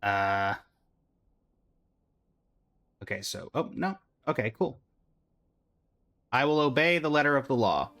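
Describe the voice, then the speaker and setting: A man's voice: mid-range voice, expressive, slow pace, pauses often, fairly bright tone. A man doing casual live commentary on a game as a streamer, with dry, wry asides.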